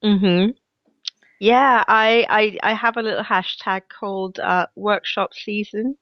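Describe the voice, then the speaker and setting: A woman's voice: mid-range voice, fairly even intonation, slow, no room sound, muffled recording. A woman from Denmark with a Danish accent and a cold, chatting as an interview guest. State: has a cold. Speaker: guest, knitwear designer. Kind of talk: interview answers.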